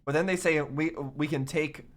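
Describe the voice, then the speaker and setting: A man's voice: fairly high pitch, fairly even intonation, normal speed, a bit bright. A man giving casual, running reaction commentary on video game cutscenes.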